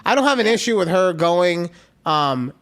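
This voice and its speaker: Male podcast co-host, loose rambling delivery, slightly higher voice, casual chat. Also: lively intonation, normal speed, heavy background noise. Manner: chatty, rambling, acts out quoted voices.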